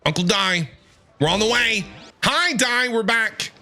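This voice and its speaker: Male game streamer, bright and highish voice, playful casual reactions over game music. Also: measured pace. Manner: animated, playful teasing. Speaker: gaming streamer reacting to a story quest. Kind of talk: casual playthrough commentary.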